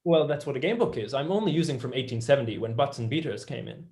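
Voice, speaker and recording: A young man playing a passionate, blunt, excitable mathematician in a staged play reading. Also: mid-range voice, quick pace, lightly acted. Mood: dismissive. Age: young adult.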